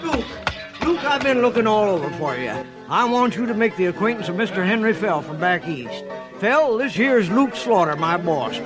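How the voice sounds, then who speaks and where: An actor playing an excitable, mischievous cowhand sidekick in a 1950s radio western, bragging in a folksy way. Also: male voice, sits high, normal speed, over music. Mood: eager, sly.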